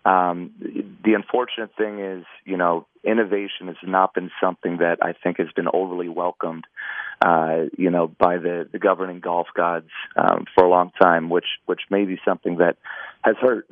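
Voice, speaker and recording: A hoarse man with a raspy voice, a golf company co-founder talking as an interview guest, hesitant with many restarts. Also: low voice, little pitch movement, normal speed, dry recording. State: hoarse after three days of talking. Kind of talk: interview over a phone line. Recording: tinny dry phone line.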